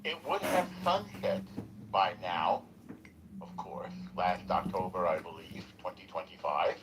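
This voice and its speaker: Slow, low-voiced male host. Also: very animated, background noise. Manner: slow, rambling, halting. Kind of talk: political call-in discussion.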